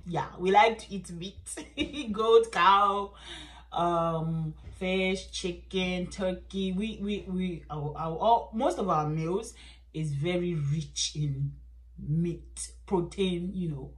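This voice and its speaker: Chatty Nigerian woman with a low voice. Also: slow pace. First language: Yoruba. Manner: chatty, laughs often, lively swings in pitch. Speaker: beauty vlogger. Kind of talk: casual chat to camera.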